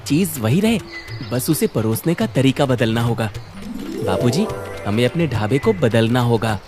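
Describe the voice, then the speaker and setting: A man's voice: medium pitch, very animated, normal speed, close to an everyday voice. A man voicing an insistent, modern-minded young son in a cartoon family story, speaking earnestly. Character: frustrated modern-minded young son of village eatery owner. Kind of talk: animated cartoon story dialogue. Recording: music under the voice.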